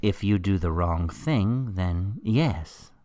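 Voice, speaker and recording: A man playing a smug criminal mastermind professor in a radio drama, coolly threatening and taunting in a deliberate, theatrical way. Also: deep voice, animated, warm tone, no room sound. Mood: smug menace.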